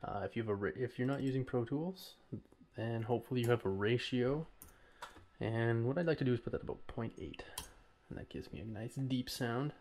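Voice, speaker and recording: A man's voice: mid-range voice, brisk, a little mellow, a little hiss or hum. A man hosting an informal audio tutorial, talking through each step in a casual, somewhat hesitant way. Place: voice-over recorded while working at a computer.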